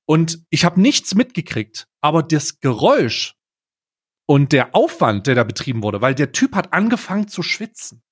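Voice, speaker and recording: A man's voice: a little high, very animated, moderate pace, breathy edge. A man telling anecdotes casually as a podcast co-host, blunt and slangy, dropping in bits of English.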